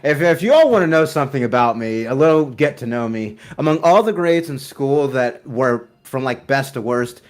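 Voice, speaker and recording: A man's voice: medium pitch, lively intonation, normal speed, few pauses. A man co-hosting a casual gaming stream, laughing often and tripping over his words while he chats about himself. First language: English. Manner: laughing, self-deprecating, stumbles over words.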